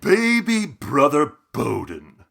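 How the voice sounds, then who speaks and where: A man's voice: fairly high pitch, wildly swooping pitch, slow pace, vocal fry, fairly bright tone, slight background noise, full character voice. A man playing a flamboyant, pompous movie star with a grandiose, cutting manner in a comedic audio drama. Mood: haughty, mocking.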